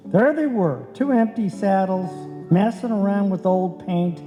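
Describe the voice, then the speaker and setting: A woman's voice: medium pitch, expressive, a little slow, soft background music, lightly acted. A woman playing a wry, pedantic husband who also narrates a live old-time radio comedy. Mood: droll. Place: live stage in a hall. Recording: dull echoey hall recording.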